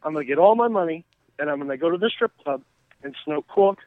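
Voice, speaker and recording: A man with a Boston accent speaks over a dry, muffled phone call. His voice sits fairly high, and he talks casually with profane, mock-serious humor as a sports guest. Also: animated, quick pace, no room sound. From Boston area, United States. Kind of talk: casual comedic chat.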